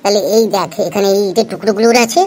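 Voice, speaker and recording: A woman's voice: medium pitch, rapid-fire, a little mellow, breathy edge, lightly acted. A woman voicing an exasperated, threatening male loan collector who scolds and complains in a comedy cartoon.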